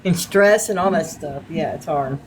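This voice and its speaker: A woman in her fifties who chimes in often with warm, supportive comments in a casual live-stream chat. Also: sits low, moderate pace. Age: 50s. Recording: very noisy audio.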